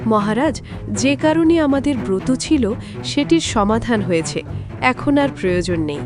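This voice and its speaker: An eager young folktale princess acted by a woman, high-pitched and very lively in intonation. Faint music sits behind her polite, excitable speech. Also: measured pace. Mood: respectful.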